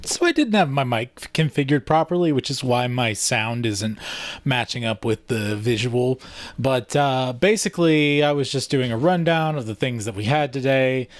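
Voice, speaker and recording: A man's voice: mid-range voice, expressive, normal speed, no echo. A man talking casually as a vlogger, informally thinking aloud while he works. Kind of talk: casual vlog talk.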